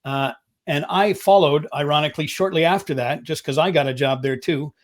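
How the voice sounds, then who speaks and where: A man's voice: fairly high pitch, brisk. An older man from Montreal, a voice talent, telling a story in an easygoing way over a video call. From Montreal, Quebec, Canada. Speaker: voice talent, guest. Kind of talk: casual interview chat.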